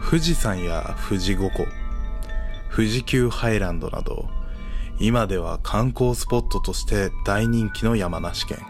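A man narrating a scripted horror guide in a formal, polite and measured way, as the show's guide. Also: low voice. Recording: music behind the voice.

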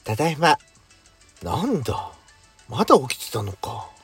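With soft music behind, a man acts a doting husband in an amateur radio play. The voice is somewhat husky. He speaks with gentle, flirtatious teasing. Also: mid-range voice, very animated, unhurried, heavily acted. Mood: tender, teasing. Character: devoted husband home late from work. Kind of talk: amateur radio drama acting. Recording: background music under speech.

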